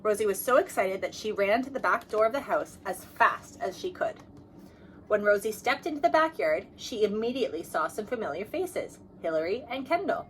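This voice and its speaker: A woman reading a children's storybook aloud as narrator, in an expressive manner. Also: mid-range voice, normal speed, slight background noise, rough recording. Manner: expressive, acts out dialogue. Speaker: storybook reader.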